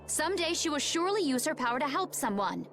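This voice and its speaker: A woman playing a composed, resolute young warrior woman in a video game, speaking in a poised, measured, earnest way. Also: high-pitched, sing-song, a bit bright, soft background music, full character voice. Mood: earnest resolve.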